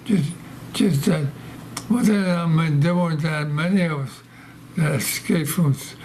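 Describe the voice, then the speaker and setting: Halting very old man, slow speech. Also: a little high, darkish tone, slight background noise. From Poland. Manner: halting, repeats words and restarts often. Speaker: veteran interviewee. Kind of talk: oral history interview. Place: library interview room.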